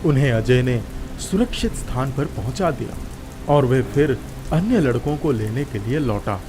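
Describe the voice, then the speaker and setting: A man tells a scripted children's story as its narrator. His voice sits at a medium pitch, and music plays clearly behind him. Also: expressive, normal speed.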